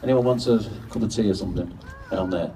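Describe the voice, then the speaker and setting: A man's voice: on the low side, fast talker, mellow, slight background noise. A man speaking informally as an announcer at a public memorial gathering. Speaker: lead singer and announcer at a memorial. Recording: distant mic at a public gathering.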